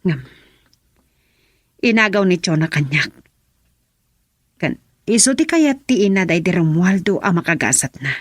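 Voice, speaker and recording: A woman's voice: lowish voice, very animated, unhurried, long pauses, dry recording. An actress playing a sorrowful, wronged middle-aged mother in a melodramatic radio drama. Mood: sad, resentful.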